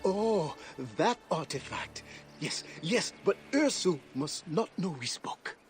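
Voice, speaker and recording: An actor playing a nervous, evasive market informant in a video game, with an anxious, chattering manner. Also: male voice, high voice, lively intonation, slow. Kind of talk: dramatic video game acting. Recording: loud background music.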